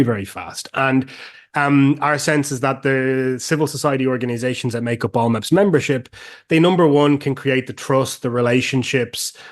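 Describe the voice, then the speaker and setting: A middle-aged man with an Irish accent, answering interview questions as a guest in a measured, explanatory way with some fillers and restarts. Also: mid-range voice. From Ireland. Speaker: guest, peace organization director.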